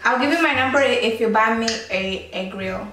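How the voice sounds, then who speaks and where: A woman's voice: on the high side, normal speed, noisy background. A woman in her twenties from Angola with an Angolan accent, teasing strangers on a video chat in a playful, bossy way. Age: 20s. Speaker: vlogger prank-calling strangers. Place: small indoor room, seated at desk. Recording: roomy echo from far off with faint music.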